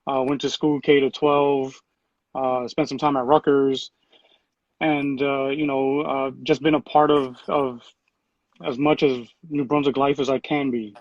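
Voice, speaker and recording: A man's voice: medium pitch, measured pace, no echo, muffled recording. Man talking loosely with many fillers. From Puerto Rico. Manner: relaxed, full of fillers and restarts. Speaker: city program director. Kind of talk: conversational interview answers.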